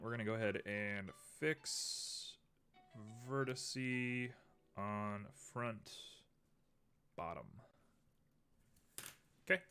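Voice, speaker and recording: Male streamer, lower register, a bit sniffly, relaxed think-aloud commentary full of ums. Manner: thinks aloud, frequent ums, muttering at the software. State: a little sniffly. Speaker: streamer building a 3D model. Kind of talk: casual live-stream commentary while working.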